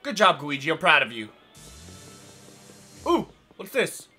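A young man with a hoarse, raspy voice chatting casually through a let's play, rambling with lots of fillers. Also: a little high, big pitch swings, a little slow, bright, forceful tone, faint music behind. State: hoarse from yelling. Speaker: gaming channel host.

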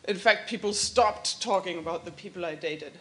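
A woman math professor speaking candidly about her life on a panel in a lecture room, open and animated. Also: on the low side, normal speed. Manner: open, animated, gestures while talking. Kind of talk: candid personal panel remarks.

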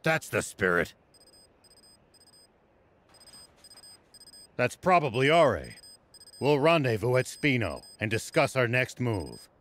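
An actor playing a grave, level-headed old soldier in a video game, speaking in a measured, serious way. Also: male voice, medium pitch, very animated, fairly bright tone. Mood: resolute.

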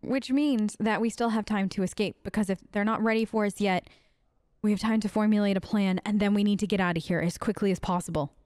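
A woman's voice: mid-range voice, steady tone, brisk, darkish tone, no room sound, clean studio sound. A woman improvising in character for a game let's play, sarcastic and defiant, with casual modern phrasing. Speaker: role-play let's-player.

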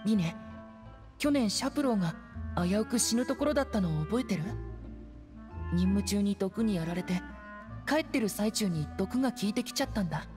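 A woman playing a timid, earnest, soft-spoken teenage boy in an anime-style game, hesitant and subdued, with music behind. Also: medium pitch, animated, normal speed, long pauses, lightly acted. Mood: earnest, resolute. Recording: studio voice over game music. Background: background music.

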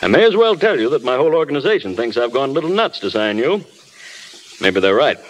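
An actor playing a brisk, paternal, kindly studio boss in a measured old radio drama style. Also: male voice, mid-range voice, very animated, close to an everyday voice. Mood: frank, kindly. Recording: echoey hall, noisy vintage radio recording.

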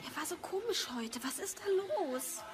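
A woman's voice: high-pitched, lively intonation, moderate pace, distant mic. A woman playing an anxious, fretful wife fleeing her husband in a crime radio play. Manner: fretful, questioning.

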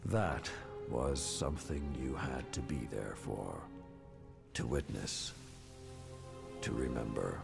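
An actor playing a solemn, weary last warrior lord in a video game, speaking slowly and gravely in dramatic narration. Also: male voice, expressive, heavily acted. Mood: mournful, solemn. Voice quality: gravelly, very deep. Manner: solemn, slow, weighty delivery. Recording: music under the voice. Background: cinematic music.

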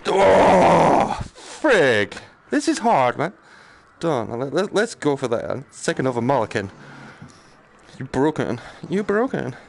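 Gravelly man commentating on his gaming. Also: fairly high pitch, big pitch swings, slow. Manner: self-deprecating, jokey muttering to himself; exclaims and talks to the game. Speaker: gaming streamer. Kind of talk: casual live game commentary. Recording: noticeable background noise, game ambience.

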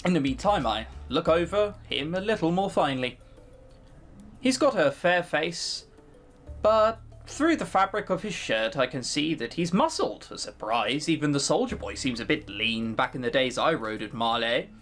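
A let's player narrates a game in a theatrical way, breaking into casual, teasing asides. The pitch moves around a great deal, and music plays behind the voice. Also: big pitch swings, moderate pace, fairly bright tone. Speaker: let's player reading a visual novel aloud.

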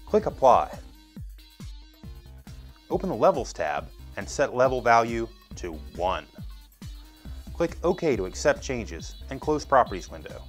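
A man's voice: medium pitch, big pitch swings, measured pace, long pauses, soft background music. A man in his thirties giving scripted, methodical step-by-step instructions as a product demonstrator. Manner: methodical, explanatory.

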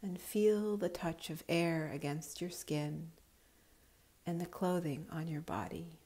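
A woman guiding a relaxation meditation in a calm, soothing, unhurried manner, her voice soft with breathy edges. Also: lowish voice. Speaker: yoga nidra guide.

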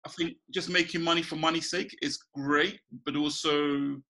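Guy on a webcam call from home, from ghana, bright and a bit gravelly, easygoing chatty vibe, keeps restarting sentences. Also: on the high side, normal speed. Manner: relaxed, chatty, laughs often, many restarts. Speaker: guest, small business owner. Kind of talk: casual interview over video call. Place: small room at home. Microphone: video call webcam.

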